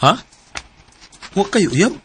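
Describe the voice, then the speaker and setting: A man's voice: high-pitched, sing-song, takes it slowly, fairly bright tone, soft background music, heavily acted. An actor playing an earnest young man courting a woman in a radio drama, speaking sincerely and conversationally.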